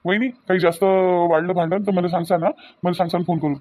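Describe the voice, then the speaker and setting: A man's voice: high voice, steady tone, fast talker, darkish tone. A man playing an agitated young newlywed husband in a family drama, repeating himself, with a Varhadi Marathi accent. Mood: worried. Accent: Varhadi Marathi of Vidarbha. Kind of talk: amateur family comedy-drama dialogue.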